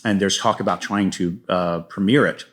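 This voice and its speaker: Low-voiced man chatting as an interview guest. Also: fairly even intonation, normal speed, fairly bright tone. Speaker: guest playwright and podcaster. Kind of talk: casual interview chat.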